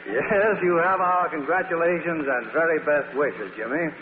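A man's voice: fairly high pitch, moderate pace. A man hosting a 1940s radio theatre with scripted announcing and chat with stars. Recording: echoing 1940s radio broadcast, narrow band, hiss.

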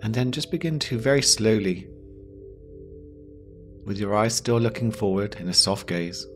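A man with a low voice leads a guided deep rest meditation. He speaks slowly and gently, leaving long pauses between short phrases. Meditation music with singing bowls plays behind him. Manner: slow, pausing, gentle instruction. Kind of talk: guided yoga nidra meditation.

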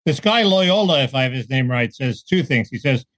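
Male co-host, middle pitch, dry room; wry, jokey, chuckles a lot. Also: lively intonation, moderate pace, a bit bright, dry recording. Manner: wry, joking, laughs between points. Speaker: podcast co-host. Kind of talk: casual political chat.

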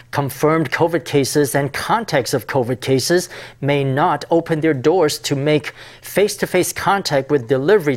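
A man reading news as an anchor in a crisp, formal way. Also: on the high side. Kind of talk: news report narration.

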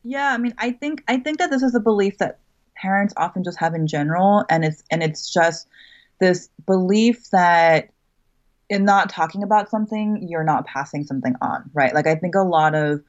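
A woman's voice: lowish voice, normal speed. A woman guest who teaches creative writing, answering thoughtfully in casual talk with lots of "like" and "right?". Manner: thoughtful, uses many "like" and "right?" asides. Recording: muffled remote call audio.

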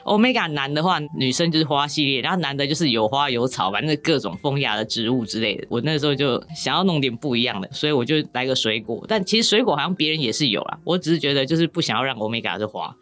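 A woman's voice: rumbling low, lively intonation, fast talker, hardly any pauses, fairly bright tone. A woman novelist with a Taiwanese accent chatting casually as a podcast guest, explaining things in a wry, joking way. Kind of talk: casual chat.

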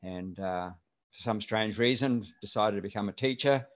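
An older man from New Zealand with a mild New Zealand accent, chatting as an interview guest in a relaxed, rambling way with lots of fillers. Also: fairly low pitch, moderate pace. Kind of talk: casual interview chat. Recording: dull lo-fi remote audio.

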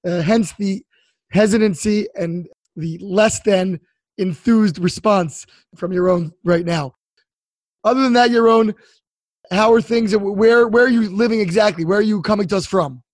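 A man hosting an interview, introducing his guest and asking measured, friendly questions. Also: sits high, a little mellow, no room sound. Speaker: host. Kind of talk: podcast interview.

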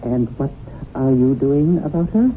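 Guy doing a prissy otherworldly boss, sceptical, swoopy pitch, tinny old radio drama. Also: lively intonation, a little slow, natural voice. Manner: arch, questioning, swooping. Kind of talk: 1970s radio drama acting. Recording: thin, noisy 1970s radio recording.

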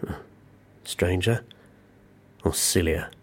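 An actor playing a skeptical, exasperated investigating agent in an audio drama, wry and doubting. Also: male voice, deep voice, takes it slowly, breathy edge, full character voice. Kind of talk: naturalistic audio drama acting.

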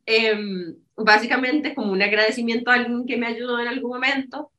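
A woman co-hosts a podcast, her voice a little high and bright. She tells an anecdote in an easygoing way. The room around her rings with echo. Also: fairly even intonation, fairly quick. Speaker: podcast co-host. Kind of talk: casual podcast chat. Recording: echoey room.

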